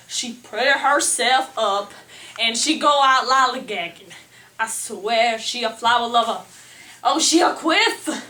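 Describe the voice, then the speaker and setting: A woman's voice: high voice, sharp tone, heavily acted. A woman playing a flighty, flirtatious, slangy 1920s news anchor in an amateur mock newscast. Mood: scandalized, ranting. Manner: theatrical, slangy. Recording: echoey room, distant mic, faint noise.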